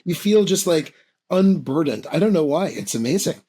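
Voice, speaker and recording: A man hosting a podcast, with a raspy voice, speaking in an enthusiastic, affirming way. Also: on the high side, expressive, normal speed, mellow. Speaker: podcast host. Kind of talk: casual interview talk.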